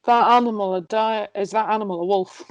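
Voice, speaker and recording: A woman's voice: medium pitch, fairly even intonation, brisk, no echo, lightly acted, rough recording. A woman reading the part of a sardonic, tipsy male dwarf nobleman in an amateur fantasy table read. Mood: drunk, wry. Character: sardonic drunk dwarf nobleman, blunt and world-weary.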